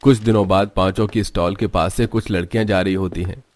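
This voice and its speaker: A man reading scripted narration for an animated family story. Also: deep voice, a little fast.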